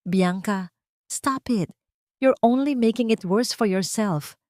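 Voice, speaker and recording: A woman's voice: medium pitch, slow pace, a little mellow, dry recording, studio quality, voice pushed up, close to an everyday voice. A woman voicing a cold, controlled, proud heiress wronged as a wife and set on revenge. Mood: cold scorn.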